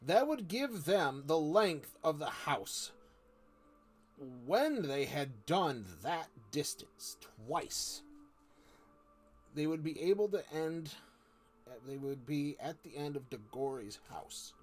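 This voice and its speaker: A man reading a children's novel aloud informally, halting and stumbling over words, with casual asides. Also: on the high side, animated, faint music behind. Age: adult, not a child. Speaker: story streamer reading aloud.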